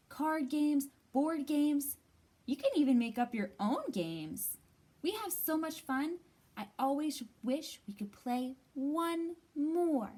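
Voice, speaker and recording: An eager gray squirrel from a children's book , read by a woman, chatters in a friendly, raised voice. Its phrases are emphatic, with very animated pitch. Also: full character voice.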